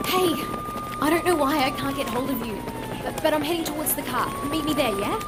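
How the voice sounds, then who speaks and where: A woman's voice: high-pitched, fairly quick, very noisy, natural voice. A young woman acting a distressed, urgent character in a disaster audio drama. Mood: worried. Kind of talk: acted audio drama dialogue.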